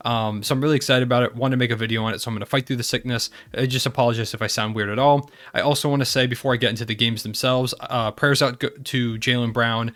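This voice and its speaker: A man's voice: mid-range voice, a bit bright. A young man hosting a basketball recap channel, talking casually and enthusiastically while stuffy from a cold. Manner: enthusiastic, fan-like. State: congested from a cold.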